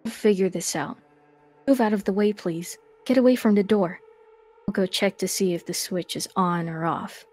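A woman's voice: medium pitch, a little mellow, faint music behind, lightly acted. A woman voicing a coy, suspicious young woman who probes and teases in a fan dub of a comic. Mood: firm. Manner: slow, insinuating, teasing.

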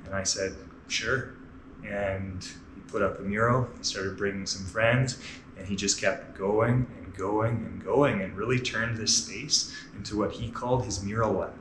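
Guest gym owner in his 30s, low-ish voice, echoey large indoor gym, faint hum behind. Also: a little slow. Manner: relaxed, matter-of-fact, with frequent fillers. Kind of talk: casual storytelling on a walking tour.

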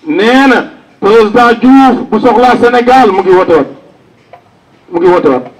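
A man's voice: high-pitched, animated, a little slow, distant mic, rough recording. A Senegalese man announcing condolences to mourners, relaying a message at an outdoor gathering.

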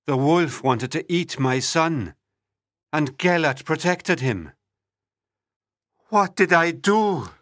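A man playing a grieving, hot-tempered prince in a dramatised storybook legend, speaking deliberately. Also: a little high, lively intonation, fairly bright tone, no room sound, clean studio sound. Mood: remorseful. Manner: slow, deliberate, dramatic delivery. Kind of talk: dramatised storybook reading for learners.

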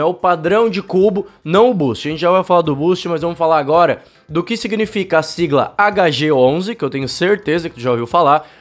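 A young man from Brazil hosts a cycling channel, talking to camera and explaining with his hands while he has a bad cold. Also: on the high side. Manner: fast, chatty, explains with hand gestures. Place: home studio room. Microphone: fluffy-windscreen desk mic, close.

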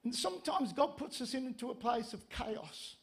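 A man in his fifties with a north-east English accent, preaching as a pastor in an animated, conversational storytelling way into a handheld microphone. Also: extremely high pitch, normal speed, vocal fry. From Gateshead, north-east England. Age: 50s. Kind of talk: sermon with humorous anecdotes. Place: church stage, indoors.

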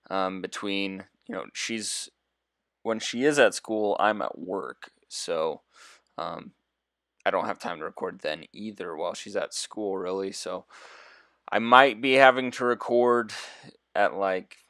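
A young man talks through his gameplay in a deep, quiet voice that sits a little low. He rambles in a relaxed way, thinking aloud and padding with fillers as he goes. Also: dry recording, clean studio sound. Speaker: gameplay video host. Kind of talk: casual gameplay commentary.

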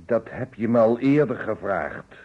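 A man's voice: fairly low pitch, expressive, a little slow, a little mellow. An actor playing a stubborn, defensive man under questioning, curt and defiant, in an old radio drama. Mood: defiant, guarded. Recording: dull vintage radio recording.

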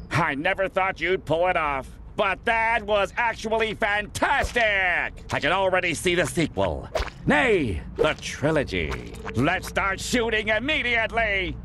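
A pompous excitable movie director creature, high voice, cartoon game, noisy behind. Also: male voice. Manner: grandiose, fussy. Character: self-important, excitable film director sea creature. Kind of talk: cartoon video game acting.

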